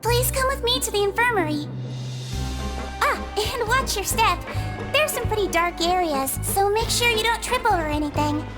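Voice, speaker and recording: A small caring non-human head nurse, high and lilting, game score under. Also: female voice, expressive, moderate pace. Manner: caring, soft, health-minded. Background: game music.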